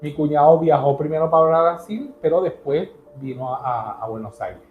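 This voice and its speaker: A man from Caracas with a Venezuelan accent, telling his story as an interview guest in an earnest, halting way with many restarts. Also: fairly high pitch, echoey room, phone-quality sound, faint music behind. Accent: Venezuelan Spanish.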